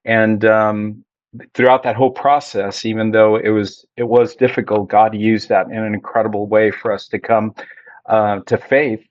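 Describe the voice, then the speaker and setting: A middle-aged man from Ecuador, a pastor being interviewed, telling his story in a measured, reflective way. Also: fairly low pitch, level tone, no echo, highs cut off. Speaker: Spanish ministry pastor, guest. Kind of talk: conversational interview.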